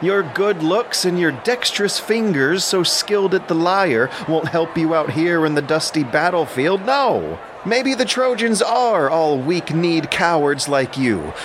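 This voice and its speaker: A man's voice: a little high, animated, unhurried, hardly any pauses, noisy background, pitched above the performer's own voice. A man playing a contemptuous Trojan warrior prince scolding in an audio drama. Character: Hector, scornful Trojan warrior prince berating his brother.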